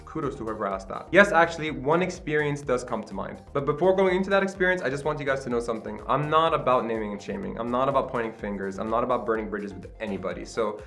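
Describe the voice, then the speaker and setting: A man's voice: medium pitch, expressive, fast, hardly any pauses, fairly bright tone. Chatty late-20s male host, upbeat and self-deprecating, mid-range voice, casual home recording, faint music under it. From Qatar. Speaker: channel host. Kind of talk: casual vlog talk to camera. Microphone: camera with self-admitted poor sound.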